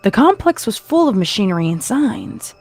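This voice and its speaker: A woman's voice: mid-range voice, big pitch swings, normal speed, darkish tone, soft background music. A woman giving a dramatic, scripted narration of a horror story.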